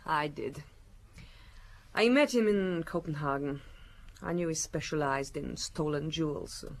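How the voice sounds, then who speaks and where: An actress playing an evasive, cunning female criminal under questioning, halting and defensive, in a radio murder mystery. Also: low voice. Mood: subdued confession.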